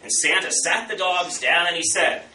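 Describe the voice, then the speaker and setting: A man telling a folktale to children in a theatrical, animated way, acting out the characters. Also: sits high, normal speed. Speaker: storyteller. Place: indoor room at a historical society. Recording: roomy, far from mic, faint noise.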